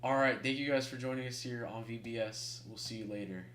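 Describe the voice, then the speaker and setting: A man's voice: mid-range voice, fairly even intonation, fairly quick, a bit bright, slight background noise. A young man co-commentating a race casually, teasing and slangy. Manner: teasing, slangy, says bro.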